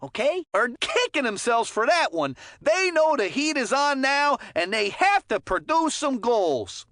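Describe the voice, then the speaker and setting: Folksy hockey announcer for a kids game, swoopy lively voice, super dry sound, chummy n upbeat. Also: female voice, high voice, unhurried, no room sound, full character voice. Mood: concerned but hopeful. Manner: folksy, chummy, slangy and encouraging. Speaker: hockey commentator character. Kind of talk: video game sports commentary voicelines.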